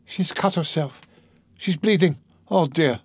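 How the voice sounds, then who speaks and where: A male narrator acts a frightened young woman in a storybook. The voice is lifted in pitch, tender and urgent, pleading with a panicked edge. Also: animated, normal speed, no echo. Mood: distressed. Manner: crying out, imploring, urgent. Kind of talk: dramatised audiobook character reading.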